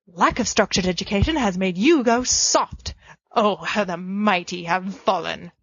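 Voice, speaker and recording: A woman's voice: mid-range voice, measured pace, no echo. A woman reading a fan fiction letter aloud with a playful, cheeky, mock-pompous delivery. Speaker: podfic reader. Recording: dull lo-fi dry home recording.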